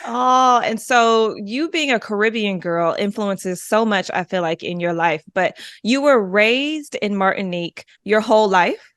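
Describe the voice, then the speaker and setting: A woman's voice: mid-range voice, a little slow, fairly bright tone, no room sound, clean studio sound. A woman hosting a podcast, chatting in a bubbly, effusive way and gushing over her guest. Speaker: podcast host. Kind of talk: casual interview conversation.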